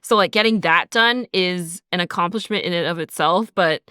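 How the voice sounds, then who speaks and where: A woman's voice: on the low side, moderate pace, pushed and bright, dry recording, clean studio sound. A woman co-hosting a casual behind-the-scenes podcast, speaking informally with lots of "like". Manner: informal, peppers talk with "like". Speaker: podcast co-host, audio drama writer and sound designer.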